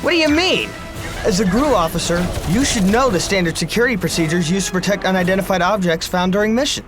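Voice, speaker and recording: A man's voice: sits high, animated, fast talker. A man playing an earnest, formal soldier in a sci-fi game, with a stiff military manner. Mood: formal, wary. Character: earnest, formal, high-voiced male soldier. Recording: music behind the voice.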